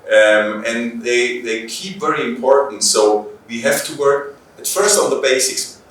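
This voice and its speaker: A man with a German accent gives interview answers in English as a native German speaker. He pauses and repeats phrases while searching for words. The room echoes heavily and the microphone sounds some way off. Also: mid-range voice, fairly bright tone, distant mic. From Bavaria, Germany. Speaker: police trainer, interview guest.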